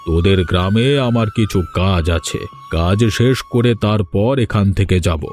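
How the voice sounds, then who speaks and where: A man voicing a calm, commanding holy man in a Bengali cartoon. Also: deep voice, moderate pace, close to an everyday voice. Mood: calm, solemn. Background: background music.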